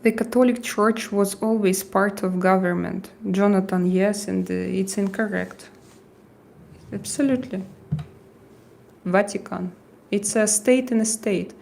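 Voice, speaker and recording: A woman with a heavy Russian accent hosting a casual livestream, chatting with viewers and often pausing with hesitant fillers as she searches for words. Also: mid-range voice, fairly even intonation, gravelly, a little mellow. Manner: hesitant, halting, with frequent "uh" fillers and restarts. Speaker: livestream host. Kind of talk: casual livestream chat answering viewer comments.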